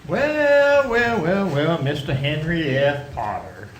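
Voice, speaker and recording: A man acting a scatterbrained, excitable older uncle in a radio play, exclaiming and stammering in theatrical style. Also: on the high side, slow pace. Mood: gleeful taunting. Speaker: stage actor in a radio play. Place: theatre stage, picked up from some distance. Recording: roomy, distant stage recording with noise.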